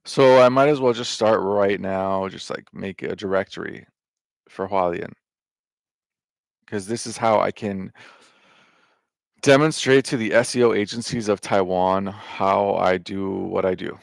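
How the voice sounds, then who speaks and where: A man with a fairly low voice and a lot of gravel and vocal fry talks through a casual, rambling monologue. He wanders between thoughts with fillers and restarts and swears without a second thought. The sound is dry and close, with no room around it. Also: unhurried, dry recording. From United States. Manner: loose and meandering, with lots of fillers, restarts and swearing. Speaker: vlogger.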